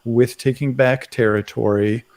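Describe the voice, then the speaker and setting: A man in a casual current affairs discussion, speaking haltingly and often tagging his phrases with "right". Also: mid-range voice, steady tone, darkish tone. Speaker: commentator on a live talk show.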